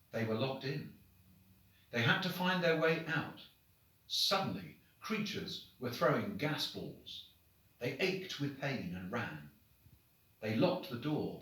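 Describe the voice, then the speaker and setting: A man reads short stories aloud like a headmaster addressing his pupils. His delivery is expressive and animated, with mid-range pitch and deliberate, dramatic phrasing. The room is strongly reverberant and he sounds set back from the microphone. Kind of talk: expressive storybook reading to children. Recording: very echoey room, distant microphone.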